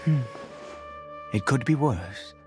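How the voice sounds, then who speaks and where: A man voicing a weary, centuries-old cursed nobleman and father in a video game, speaking formally and haltingly, with grief. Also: medium pitch, expressive. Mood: sorrowful, brooding. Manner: halting, hesitant, sighing, formal wording. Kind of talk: dramatic video game voice acting. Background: background music.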